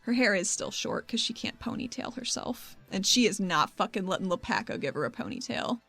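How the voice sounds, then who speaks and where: A woman's voice: mid-range voice, big pitch swings, brisk, creaky, fairly bright tone, soft background music. A woman chatting casually as a player in a tabletop roleplaying game, informal and wry.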